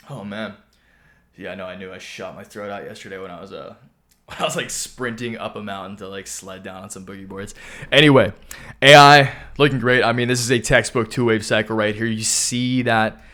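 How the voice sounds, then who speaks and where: A young man hosting a stock market video, talking casually through charts with a hoarse voice, often clearing his throat. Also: lowish voice, normal speed, pauses often, bright, forceful tone. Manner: keeps clearing his throat, upbeat and hype-driven. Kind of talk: casual chart analysis talk.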